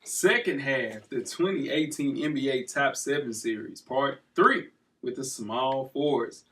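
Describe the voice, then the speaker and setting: A man's voice: mid-range voice, unhurried, sharp tone. A man hosting a sports podcast with casual, slangy banter, jovial and given to repeating phrases for emphasis. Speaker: podcast host. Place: studio. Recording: slightly distant from the mic.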